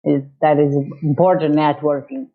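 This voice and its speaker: A woman from Portugal speaking English with a Portuguese accent, slowly searching for words as she explains her chamber of commerce in an interview over a video call. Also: sits low, steady tone. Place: in Lisbon. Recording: dull lo-fi call audio.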